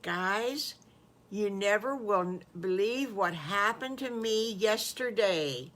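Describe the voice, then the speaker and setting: An older woman voicing a sweet, excitable young boy wolf in a children's story, eager and childlike, repeating himself. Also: mid-range voice, slow pace. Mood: earnest.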